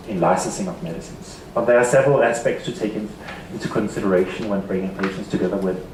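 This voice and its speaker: A man with a Danish accent giving a seminar talk, halting and repeating words often. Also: fairly low pitch, darkish tone, a little hiss or hum. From Denmark. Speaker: seminar speaker. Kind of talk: conference presentation. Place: seminar hall. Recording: echoey hall from far off.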